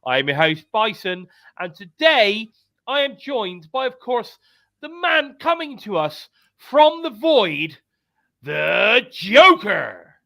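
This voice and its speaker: British male podcast host, stuffed-up nose, bright upbeat voice, dry close mic. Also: high-pitched, big pitch swings, slow pace, vocal fry, no echo. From United Kingdom. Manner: chatty, upbeat, repeats calls to subscribe. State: congested, bunged up. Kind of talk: live wrestling podcast chat.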